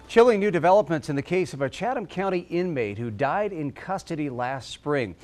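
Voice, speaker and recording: A man's voice: a little high, animated, a little fast, darkish tone. An American man in his fifties reading scripted news as a studio anchor. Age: 50s. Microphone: lapel mic.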